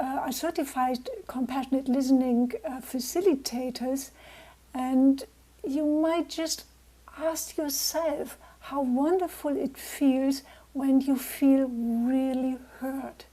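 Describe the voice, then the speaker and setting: A woman in her sixties from Germany, with a German accent, speaking gently and reflectively as a guest speaker to a club. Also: sits high, fairly even intonation, slow, rough recording. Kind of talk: personal talk to a club.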